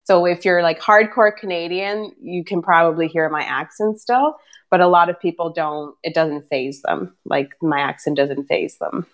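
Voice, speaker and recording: A woman's voice: lowish voice, moderate pace. Chatty woman with a light Brazilian Portuguese accent. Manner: chatty, many "like" fillers, laughs easily. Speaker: interviewee. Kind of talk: casual interview chat.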